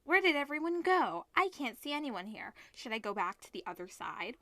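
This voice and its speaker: An anxious, impulsive student hero from a visual novel, voiced by a woman reading along. The voice is bright and a bit high, urgent in manner, recorded dry and close. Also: big pitch swings, moderate pace, no room sound, heavily acted. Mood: agitated, urgent. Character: anxious, impulsive student protagonist searching for a friend.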